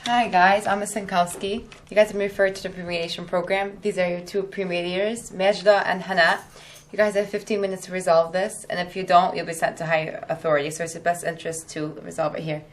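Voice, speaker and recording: Woman acting as brisk, fast-talking school staffer. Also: fairly low pitch, flat delivery, lightly acted. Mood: brisk, businesslike. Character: brisk female school staffer introducing peer mediation. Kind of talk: amateur school dramatization.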